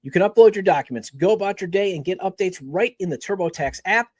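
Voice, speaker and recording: A man with a notably high voice reads scripted podcast promos. He speaks as the show's host, in a dry recording with little room sound. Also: animated, quick pace, slightly rough, no echo.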